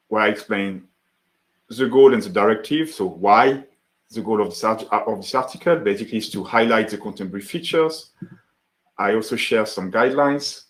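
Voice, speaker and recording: A man from France with a French accent, hosting a live webinar as founder of a software tool, greeting viewers in the chat and demoing his workflow. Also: fairly low pitch. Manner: friendly, greets chat viewers by name, many fillers.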